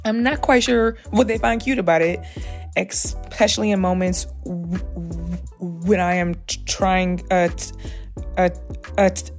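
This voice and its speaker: A woman's voice: fairly low pitch, fairly even intonation, unhurried, soft background music. A woman who stutters, with many repetitions and fillers, speaking frankly about her own experience. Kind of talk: personal recorded testimony.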